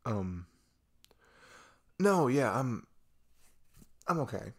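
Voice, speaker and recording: A man acting a husky, halting, downcast boyfriend. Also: medium pitch, sing-song, voice pushed up, exaggerated voice. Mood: downcast, evasive. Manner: halting, hesitant, sighing and trailing off. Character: depressed, hesitant boyfriend opening up to his partner. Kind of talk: intimate ASMR roleplay.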